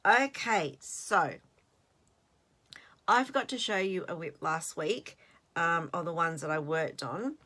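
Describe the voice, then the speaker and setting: An Australian woman in her late fifties chats casually as host of her own channel. She rambles and restarts, laughing at herself. Her voice sits a little low but sounds bright and forward. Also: animated, takes it slowly, pauses often. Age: 50s. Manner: chatty, rambling, self-deprecating with fillers and restarts. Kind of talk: casual chat.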